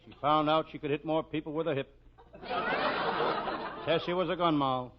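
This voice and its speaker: Parody radio detective: man acting deadpan American private eye, hard-boiled narration, muffled 1940s sound. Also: a little high, moderate pace, noisy background, close to an everyday voice.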